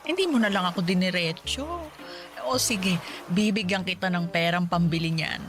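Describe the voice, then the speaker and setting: A woman's voice: lowish voice, normal speed, music in the background, natural voice. An actress playing a doting, indulgent girlfriend in a Tagalog radio drama, babying her lover with soothing, cooing talk. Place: studio recording with music behind.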